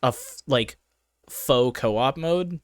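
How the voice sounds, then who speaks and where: A man with a higher voice co-hosting a casual podcast, playful and teasing, quick to laugh. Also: no room sound, clean studio sound. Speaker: podcast co-host. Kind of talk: casual chat.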